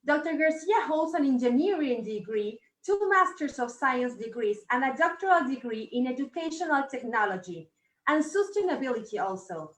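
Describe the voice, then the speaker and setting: A woman's voice: sits high, expressive, moderate pace. Effusive woman host in an echoey room. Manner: effusive, religious blessings. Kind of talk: live talk show hosting.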